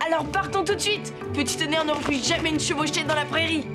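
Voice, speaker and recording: A brave, eager young boy voiced by a woman talks in a high, earnest voice. He sounds kind-hearted, in children's cartoon style, over loud music. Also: fast, natural voice. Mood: determined. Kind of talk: French-dubbed children's cartoon acting.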